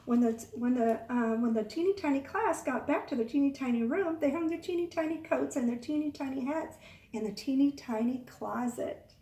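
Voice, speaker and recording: A woman reading a picture book aloud to young children, expressive, pausing to ask the listeners questions. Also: high voice, a little hiss or hum. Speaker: children's storytime reader. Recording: echoey room, far from mic.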